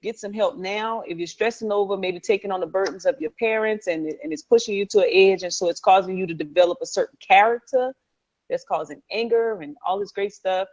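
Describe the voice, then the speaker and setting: A woman's voice: mid-range voice, fairly quick, darkish tone. A woman co-hosting a casual talk show, talking loosely with many fillers and restarts. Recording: muffled lo-fi line.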